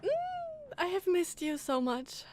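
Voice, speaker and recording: A woman's voice: sits high, a little slow. A woman streamer giving casual, reactive game commentary, musing aloud as she plays. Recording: game music behind the voice. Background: game music.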